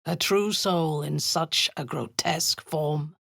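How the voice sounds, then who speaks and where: An actress voicing a cold, imperious woman warrior-commander and zealous cultist in a video game, speaking in a low, husky, half-whispered menace. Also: slow pace, fairly bright tone, no room sound, studio quality, heavily acted. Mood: commanding. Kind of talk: video game character acting.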